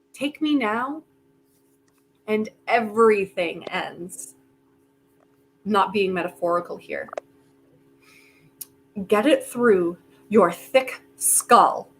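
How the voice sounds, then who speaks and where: An actress playing a defiant, stubborn inventor in a staged play reading, insistent and full of dramatic pauses. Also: female voice, mid-range voice, low-quality recording. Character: defiant, grieving inventor bargaining stubbornly with Death.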